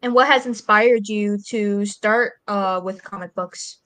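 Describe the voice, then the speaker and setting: A woman's voice: medium pitch, steady tone, a little slow, a bit bright, dry recording, rough recording. A woman hosting an interview, asking simple questions in a casual way with brief encouraging replies. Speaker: interviewer, host.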